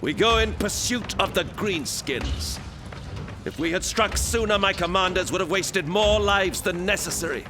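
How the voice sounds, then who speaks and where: An actor playing a cold, proud, iron-hard warlord in an audio drama, deliberate and dismissive. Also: male voice, sits high, over music, lightly acted. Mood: imperious. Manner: deliberate, imperious.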